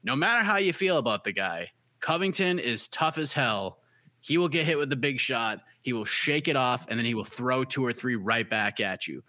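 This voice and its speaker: A man's voice: medium pitch, expressive, normal speed, pushed and bright, no room sound. A man with a light Boston accent, an MMA analyst giving emphatic casual fight picks and repeating his key points. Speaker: MMA analyst on a preview show.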